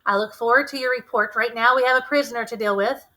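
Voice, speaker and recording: A commanding colonel from a storybook, as a woman reader plays him. He is steady, protective and military in manner, with a forceful delivery in a fairly high register. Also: fast. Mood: firm, controlled.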